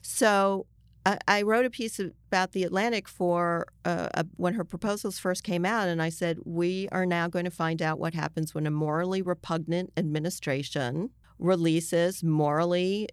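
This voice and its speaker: An American woman speaking conversationally as an interviewed journalist, explaining emphatically and often halting mid-sentence to rephrase. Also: fairly low pitch, steady tone, smooth, no room sound.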